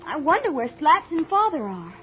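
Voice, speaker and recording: A scientist's level-headed young daughter. Her voice is high, earnest and reassuring, with vintage radio serial delivery. The recording is dull and old. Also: very animated, moderate pace, loud music behind the voice. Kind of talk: 1950s radio drama acting.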